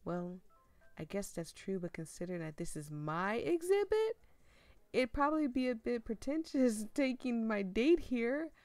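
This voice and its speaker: A hoarse woman voicing a shy young male artist in a game, speaking gently. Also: fairly high pitch, big pitch swings, a little slow, no room sound, voice pushed up. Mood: bashful.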